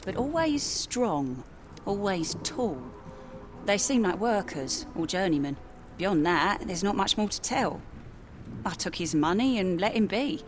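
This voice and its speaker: Guarded, low-voiced tavern woman. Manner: wary, matter-of-fact. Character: guarded, plain-spoken pregnant barkeeper of a Victorian port tavern. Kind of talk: video game cutscene acting.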